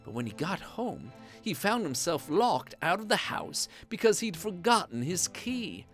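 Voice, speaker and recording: An expressive narrator tells a children's bedtime story with animated, wide-ranging intonation. The delivery takes its time, with music playing softly behind the voice. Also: medium pitch, measured pace.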